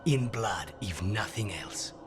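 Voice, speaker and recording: A man's voice: on the low side, expressive, takes it slowly. A man playing a grim, betrayed assassin in a video game, speaking tersely and intensely over music. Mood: cold, vengeful. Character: betrayed male assassin, grim, vengeful, controlled.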